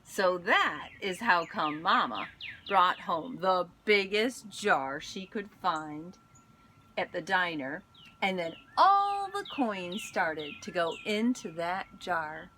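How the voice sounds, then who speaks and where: A woman reading a picture book aloud in an expressive storytelling manner, pausing to speak to young listeners. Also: lowish voice, slight background noise. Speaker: school instructional coach reading aloud.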